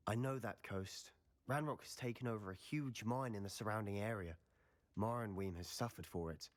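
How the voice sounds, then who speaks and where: A man's voice: on the low side, moderate pace, natural voice. An actor playing a curious young male Slytherin student wizard.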